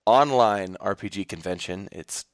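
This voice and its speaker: A man's voice: fairly low pitch, normal speed, no room sound. A man co-hosting a podcast, talking informally and with slang into a close microphone. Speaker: podcast co-host. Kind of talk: casual podcast chat.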